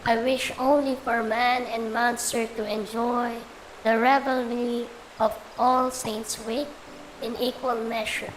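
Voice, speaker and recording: Woman as a slow, stately gourd spirit. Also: a little high, little pitch movement, low-quality recording. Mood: benevolent. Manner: grand, measured, drawn-out. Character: great gourd spirit, stately, menacing yet benevolent matron. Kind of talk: reading game dialogue aloud on stream. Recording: clear background noise.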